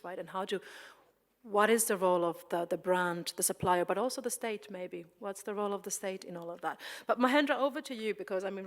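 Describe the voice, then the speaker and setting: A woman moderating a panel, speaking loosely with fillers and searching phrases. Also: on the low side. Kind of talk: panel discussion. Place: large conference hall. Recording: reverberant conference hall.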